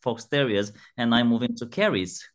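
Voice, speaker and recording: A man with a Romanian accent, a guest chatting casually in an interview, friendly and halting, repeating words as he finds them. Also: mid-range voice. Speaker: guest, groomer and grooming school owner.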